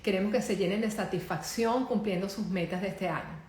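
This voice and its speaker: A woman's voice: mid-range voice, a little fast, darkish tone. A woman with a Venezuelan accent, a psychologist hosting a live online show in an upbeat, welcoming way. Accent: Venezuelan Spanish. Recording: roomy echo, faint background noise.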